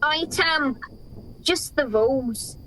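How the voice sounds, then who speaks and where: Woman playing dismissive soldier, high voice, slow pace, radio comedy. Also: a bit bright, a little hiss or hum, low-quality recording. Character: gruff, dismissive Scottish soldier at camp.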